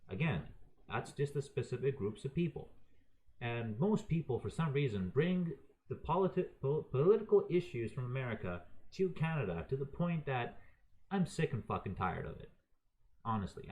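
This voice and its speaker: A man rants about his gripes, heated and exasperated and swearing freely. His pitch climbs and dips a lot as he pushes each point. He speaks off the cuff, straight to his viewers. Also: a little mellow, low-quality recording. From raised in Croatia. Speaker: video ranter. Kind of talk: unscripted opinion rant.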